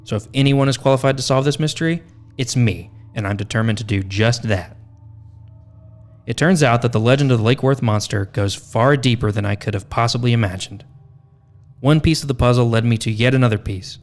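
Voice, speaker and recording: A man's voice: medium pitch, a little fast. A man hosting a podcast, reading a scripted narration with wry, tongue-in-cheek asides. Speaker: podcast host.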